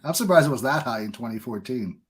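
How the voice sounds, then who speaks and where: A man's voice: medium pitch, lively intonation, quick pace, slight background noise. A man hosting a podcast interview, chatting casually in a talkative, opinionated way. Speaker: podcast host.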